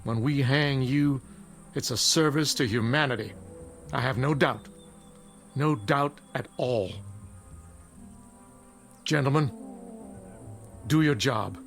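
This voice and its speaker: An actor playing a grave older American frontier judge, weighty and deliberate, in a radio drama. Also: male voice, medium pitch, soft background music. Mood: solemn, condemning.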